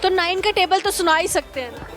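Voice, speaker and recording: A woman's voice: extremely high pitch, lively intonation, brisk. A young woman hosting a street interview, teasing her guest and laughing often. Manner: playful, mocking, laughs often. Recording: outdoor street recording with crowd and music.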